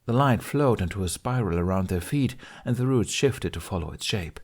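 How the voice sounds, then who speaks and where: A man narrates a fantasy fan fiction story in a steady, expressive reading. His voice sits low, and he keeps a calm storyteller's pace through the action. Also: moderate pace. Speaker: podfic reader. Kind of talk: fanfiction audiobook narration.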